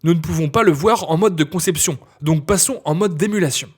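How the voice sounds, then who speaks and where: Male instructor, slightly high husky voice, scripted step-by-step software tutorial read. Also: fairly quick.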